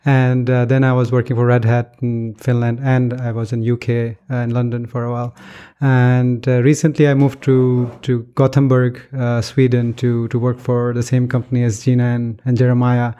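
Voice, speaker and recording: A man from Pakistan in his thirties, a software developer guest talking hesitantly with many pauses. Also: mid-range voice, clear, resonant tone. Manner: hesitant, many ums and uhs, flat delivery. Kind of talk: casual chat. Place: small office room indoors.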